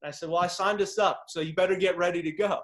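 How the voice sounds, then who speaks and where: A man whose first language is Czech hosts a casual chat and speaks in a fairly high voice. He tells stories with enthusiastic, slangy energy and keeps the talk moving. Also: fairly even intonation, fast, fairly bright tone. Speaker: podcast host.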